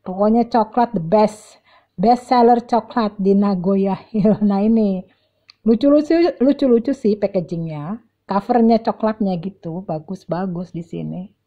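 A woman's voice: medium pitch, measured pace. Chatty woman vlogger, dull lo-fi sound. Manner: chatty, addressing viewers directly, repeating words. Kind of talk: casual walk-and-talk shopping vlog. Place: large indoor shopping mall.

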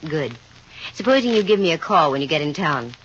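An actress with an American accent playing a wry, self-assured private investigator in a 1950 radio drama, brisk and dry. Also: female voice, low-pitched, big pitch swings, fairly bright tone, slight background noise. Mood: brisk, confident. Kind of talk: 1950 radio detective drama acting, with first-person narration. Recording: muffled vintage 1950 radio recording with music.

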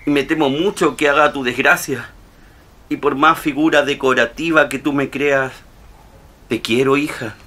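A man's voice: medium pitch, steady tone, normal speed, sharp tone. An actor playing a timid, earnest, henpecked middle-aged father in a radio drama. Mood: earnest, worried.

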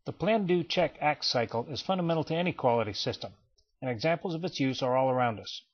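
A man narrates a training slideshow in a steady, explanatory manner, his voice sitting at an ordinary middle pitch. The recording sounds slightly dull and lo-fi, like a plain home voice-over. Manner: measured, even explanatory delivery. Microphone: voice-over, not recorded in pictured place.

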